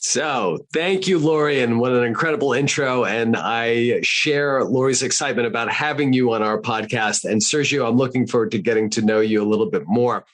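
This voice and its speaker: A man's voice: medium pitch, moderate pace, hardly any pauses, fairly bright tone. A man co-hosting a podcast who is also a career coach, asking friendly, curious questions while he has a cold. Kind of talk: casual interview questions.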